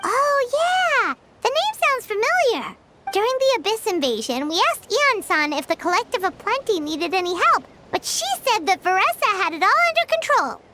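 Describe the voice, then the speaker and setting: An actress playing a tiny, childlike floating fairy companion in an anime-style video game, squeaky, excitable and chatty. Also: female voice, moderate pace, heavy background noise. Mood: curious, cheerful. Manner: exclamatory, refers to self by name. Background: music.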